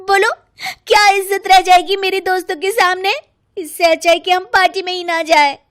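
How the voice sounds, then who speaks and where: A woman's voice: very high voice, level tone, normal speed, fairly bright tone, voice pushed up. A woman voicing a bossy, vain young fashion-obsessed daughter-in-law in a cartoon story, emphatic and pushy. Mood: indignant.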